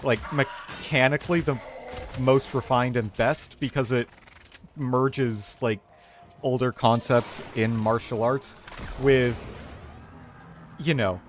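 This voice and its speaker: A man streaming a game and rambling casually, thinking aloud in a halting way as he plays. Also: medium pitch, steady tone, slight background noise. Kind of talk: casual rambling commentary while gaming.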